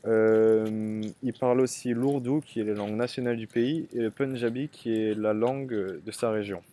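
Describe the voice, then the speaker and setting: Young Parisian man, mellow and hesitant. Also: fairly low pitch, steady tone, moderate pace. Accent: Parisian French. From Paris area, France. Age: young adult. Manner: thoughtful, hesitant, frequent fillers. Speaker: interviewee, student. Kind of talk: casual interview answers.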